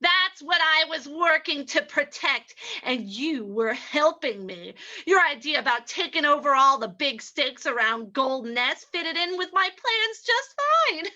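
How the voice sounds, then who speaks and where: A ruthless female spy from an old radio play, acted by a woman. Her high, bright voice takes its time, cold and menacing, with melodramatic weight. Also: full character voice. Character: ruthless female spy holding men at gunpoint.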